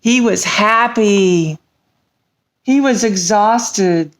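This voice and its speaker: A woman storyteller talking in an animated way to young children during a bedtime storytime with puppet characters. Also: medium pitch, drawn-out words.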